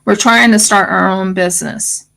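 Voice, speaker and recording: A woman's voice: medium pitch, steady tone, moderate pace, vocal fry, bright, forceful tone. A woman with an Australian accent and a scratchy voice, chatting casually and rambling as host of a craft livestream. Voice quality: scratchy morning voice. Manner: rambling, fillers, restarts.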